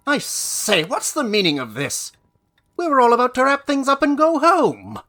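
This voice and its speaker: A theatrical storyteller acted by a man in an audio play, pompous and easily exasperated. The delivery is declamatory and old-fashioned, with lively swings in pitch. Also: normal speed, full character voice. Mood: indignant. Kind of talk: audio drama acting.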